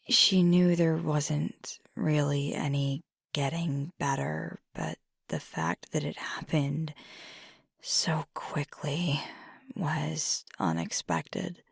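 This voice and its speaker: A woman playing an affectionate, teasing werewolf girlfriend in an ASMR roleplay, speaking in a soft, breathy, half-whispered voice with casual pauses. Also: low voice, no echo, clean studio sound, heavily acted. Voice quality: breathy, husky, gravelly fry. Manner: casual, unhurried, hesitant pauses. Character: fiery werewolf girlfriend, affectionate, teasing, weary pack member.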